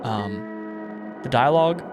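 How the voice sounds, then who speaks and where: A man doing casual video game playthrough commentary, reading prompts aloud and reacting as he thinks out loud. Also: mid-range voice, lively intonation, takes it slowly, music in the background. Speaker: gaming content creator and streamer.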